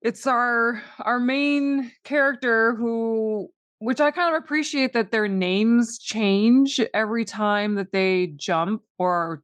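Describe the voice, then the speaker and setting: A woman's voice: fairly high pitch, dry recording. Woman thinking aloud, dry close sound. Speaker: podcast co-host. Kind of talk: casual discussion, summarising a plot.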